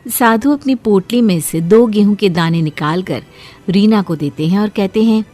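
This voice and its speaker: A woman narrating a moral cartoon story in a steady, clear storytelling manner. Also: mid-range voice, normal speed, soft background music.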